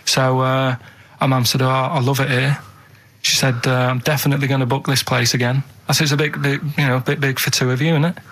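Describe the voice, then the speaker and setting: A man's voice: medium pitch, little pitch movement, fast, a bit bright. A man in his thirties, a radio co-host, telling anecdotes in a matter-of-fact, grumbling way. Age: 30s. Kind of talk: casual anecdote and banter.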